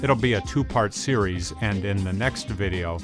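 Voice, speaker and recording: Middle-aged male documentary host, low register, slangy tough-guy phrasing, light music bed. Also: moderate pace. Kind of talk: scripted documentary narration.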